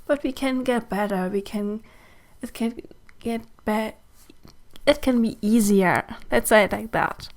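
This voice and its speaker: Slow, soft-spoken German woman podcaster. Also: on the high side. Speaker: podcast host and mentor. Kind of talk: slow reflective solo podcast talk.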